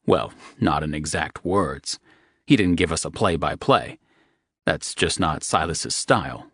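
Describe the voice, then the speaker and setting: A man's voice: lively intonation, unhurried, dry recording, clean studio sound, full character voice. A man voicing a protective, crude young man. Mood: tender. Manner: low, gentle, coarse-talking.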